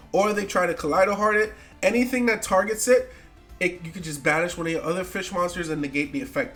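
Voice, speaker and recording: A man's voice: high-pitched, fairly bright tone. Stuffy-nosed young man, casual gamer talk. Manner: loose, slangy, repeats "right?" often. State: congested, recovering from a cold. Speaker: gaming YouTuber. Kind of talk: casual commentary on card game decks.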